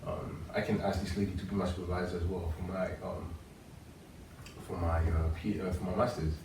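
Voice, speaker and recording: A man from France with a French accent, a guest telling his story in an interview, plain and measured with hesitations. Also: deep voice, flat delivery. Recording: roomy, distant mic, faint noise.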